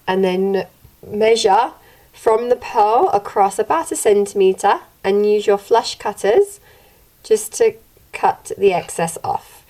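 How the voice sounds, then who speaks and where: Young female craft host, a bit croaky, calm how-to delivery from home. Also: medium pitch, slow pace. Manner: gentle, steady instructional delivery. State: slightly croaky from illness. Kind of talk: step-by-step tutorial narration. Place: home room, indoors.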